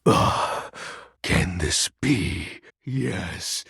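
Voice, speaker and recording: A man playing a grandiose, theatrical self-proclaimed lord of shadows in a video game, with a husky, gravelly voice and pompous, flowery, archaic speech. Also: deep voice, animated, drawn-out words, fairly bright tone, no room sound, studio quality, full character voice. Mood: solemn, proud. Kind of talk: video game character voice acting.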